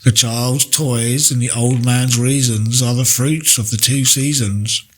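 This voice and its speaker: A man reading poetry aloud in a deliberate, measured way with pauses between phrases. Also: mid-range voice, fairly even intonation. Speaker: poetry reader. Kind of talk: poetry recitation, read aloud.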